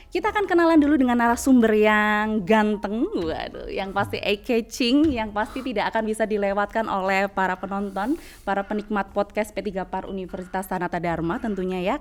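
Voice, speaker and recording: A woman hosting a podcast, chatty, with many fillers. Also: medium pitch. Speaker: podcast host, lecturer.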